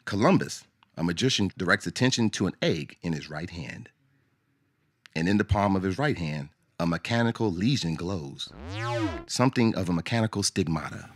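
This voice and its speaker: A man narrating a scripted radio drama as a storyteller, in a measured, dramatic way. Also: low voice, expressive, no room sound, studio quality.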